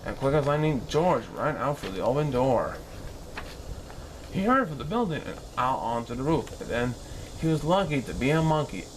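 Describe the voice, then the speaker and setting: A man reading a children's story aloud in an expressive, animated style with dramatic pauses. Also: medium pitch, background noise.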